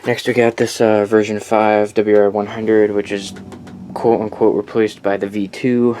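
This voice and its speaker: Low-voiced man rambling over clear background noise. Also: flat delivery, unhurried, darkish tone. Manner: rambling, self-correcting, blunt. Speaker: collector presenting weather radios. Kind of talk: casual unscripted showcase vlog.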